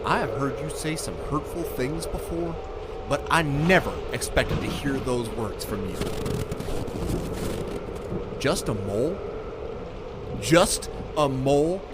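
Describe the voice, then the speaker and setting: An actor playing a stubborn, bluff expedition captain in an audio drama, talking in a brash, folksy way with dropped endings. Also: male voice, mid-range voice, expressive, takes it slowly, pauses often, heavy background noise. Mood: hurt, indignant.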